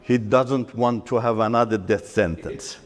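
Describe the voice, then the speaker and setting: A middle-aged man from Iran with an Iranian accent, whose first language is Turkish, giving his personal testimony in an earnest, halting way with restarts. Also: lowish voice, steady tone, long reverb tail, a little hiss or hum. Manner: earnest, halting with restarts, stresses key words.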